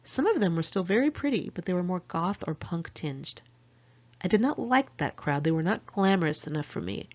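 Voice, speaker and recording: Low-pitched woman reading, dull lo-fi sound. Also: very animated, moderate pace, darkish tone. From California, United States. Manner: candid, wry, sardonic asides. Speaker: author reading own memoir. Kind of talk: first-person audiobook narration, diary storytelling.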